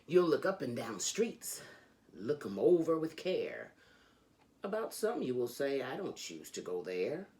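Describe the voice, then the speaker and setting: Really low voiced lady doing kids read-along, slow pace, super expressive swoopy storytelling. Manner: animated, sing-song storytelling. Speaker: host of a children's read-along show.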